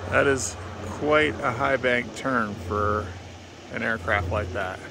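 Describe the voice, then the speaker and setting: A man in his thirties narrates a camping vlog in a medium-pitched voice. He speaks casually and matter-of-factly, pausing with hesitations. He is recorded outdoors on a handheld phone with faint ambient noise behind him. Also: gravelly. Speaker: travel vlogger. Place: outdoors at a forest campsite. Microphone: handheld phone at arm's length.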